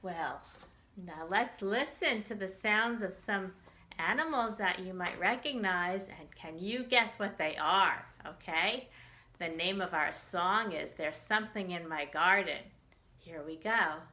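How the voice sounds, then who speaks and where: A woman's voice: on the low side, unhurried, sharp tone. A woman hosting a storytime for young children, reading aloud in simple phrases and putting questions and asides to her little listeners. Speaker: children's librarian storytime host.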